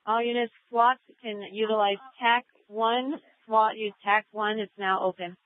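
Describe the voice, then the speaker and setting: A woman's voice: fairly high pitch, fairly even intonation, a little slow. A woman working as a police dispatcher, relaying procedural information over radio and repeating key details. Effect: police radio transmission. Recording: tinny narrow-band police scanner audio.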